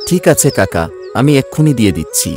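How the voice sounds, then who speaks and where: A man's voice: medium pitch, very animated, fast, loud music behind the voice, natural voice. A man playing a hardworking poor vegetable farmer and doting father in a cartoon, earnest and good-natured. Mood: cheerful, respectful. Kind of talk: Bengali cartoon voice acting.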